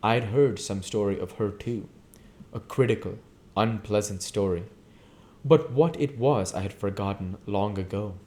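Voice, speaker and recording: A man reading a literary novel aloud in a measured narrating manner, as host of his own reading series. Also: on the low side, darkish tone. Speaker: reader and channel host.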